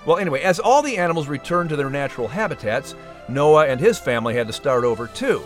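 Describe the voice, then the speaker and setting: Animated man narrating a storybook. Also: on the high side, fast talker, soft background music. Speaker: host and narrator.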